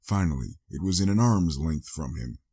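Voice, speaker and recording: A man's voice: very deep voice, animated, moderate pace, dark tone, breathy edge. A man reading a scripted story as a dramatic audiobook narrator.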